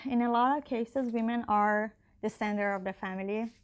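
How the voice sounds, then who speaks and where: A woman's voice: on the high side, normal speed, clean tone. A young woman candidate answering interview questions in English, not her first language, with many fillers and restarts. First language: not Icelandic. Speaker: election candidate.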